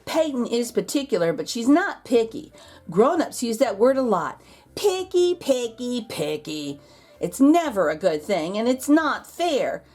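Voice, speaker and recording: A woman's voice: a little high, big pitch swings, unhurried, darkish tone, soft background music. A woman host reading a children's storybook aloud expressively, drawing out key words for emphasis. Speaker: children's picture book read-aloud host.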